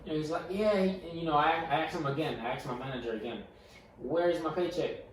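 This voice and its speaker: A man's voice: fairly high pitch, fast talker. Stuttering young man telling stories, echoey room. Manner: animated storyteller, stutters and repeats words. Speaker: podcast co-host. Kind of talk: casual podcast chat. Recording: echoey room, mic far off, faint noise.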